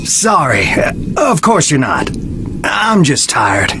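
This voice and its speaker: An actor playing a tired, sardonic young fiancé in a video game, with a dry, sarcastic and weary way of talking. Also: male voice, a little high, big pitch swings, slow, creaky, noisy background, full character voice. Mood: tired, worried. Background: music.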